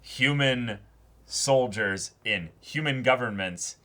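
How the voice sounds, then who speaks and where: A man co-hosting a reaction show, casually thinking out loud and spinning speculative theories. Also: medium pitch, slow pace. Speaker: co-host of an anime reaction show. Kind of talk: casual discussion.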